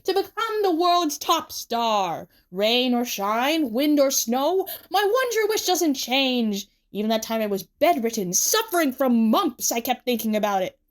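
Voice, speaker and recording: Brief: storybook would-be star, young man acted by a woman, theatrical, emphatic, swooping pitch, easily flustered. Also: sing-song, unhurried, hardly any pauses. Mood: grandly boastful. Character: dramatic, vain young male aspiring star, easily flustered.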